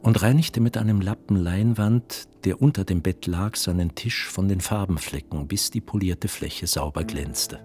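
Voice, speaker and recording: Deep male narrator reading literary radio play, measured n precise, some piano behind.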